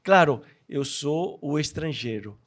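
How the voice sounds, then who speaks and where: A man from Argentina with an Argentine accent, a native Spanish speaker, sharing a personal testimony as a podcast guest and acting out dialogue in an animated way. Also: fairly high pitch, takes it slowly.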